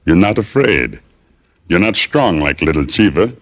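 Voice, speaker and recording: An actor playing a devoted, trusting guide in an old radio drama, speaking broken English. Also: male voice, deep voice, very animated, normal speed. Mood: earnest, loyal. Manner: broken English, speaks of himself in third person. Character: devoted Haitian male local guide, simple and trusting.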